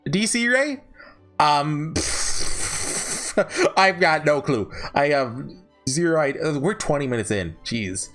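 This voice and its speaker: A man hosting a casual, unscripted ranking video, talking in a rambling, halting way and often correcting himself. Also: fairly high pitch, animated, fairly bright tone, faint music behind. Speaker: video host ranking visual novels. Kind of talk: casual unscripted tier-list commentary.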